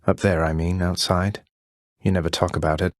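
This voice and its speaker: Male actor as young British underworld prince, low voice, wry, politely understated, rebellious, game dialogue, close dry recording. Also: level tone, moderate pace, dry recording, lightly acted. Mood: curious, earnest. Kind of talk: video game character dialogue.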